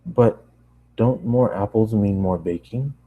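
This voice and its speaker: A man's voice: fairly low pitch, slow, husky, narrow, tinny audio, heavily acted. A man voicing a polite, reserved traveler who speaks in a hesitant, understated way. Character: polite, reserved male traveler, hesitant among chatty strangers.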